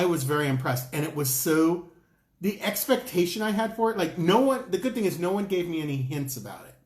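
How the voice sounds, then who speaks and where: A man co-hosting a casual talk show, chatty and playful, breaking into high exclamations. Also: reverberant. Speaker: co-host. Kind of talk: casual chat.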